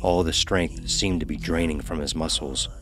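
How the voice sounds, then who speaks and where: A man with an exceptionally deep voice narrates a horror story. His delivery is scripted and measured, with an ominous, dramatic weight to it. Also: fairly even intonation, music in the background.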